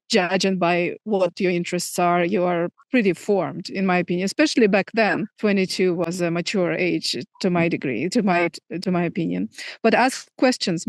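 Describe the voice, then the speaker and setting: A middle-aged woman from Moscow with a Russian accent, a native Russian speaker, answering interview questions thoughtfully with hesitant pauses. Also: lowish voice, no echo. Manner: thoughtful, many fillers and restarts. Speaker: filmmaker guest.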